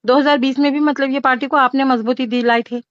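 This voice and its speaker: A woman's voice: high-pitched, fairly even intonation, fast, dry recording. A woman from Maharashtra, India, a social worker asking questions in a phone conversation. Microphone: phone call. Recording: dull lo-fi phone call recording.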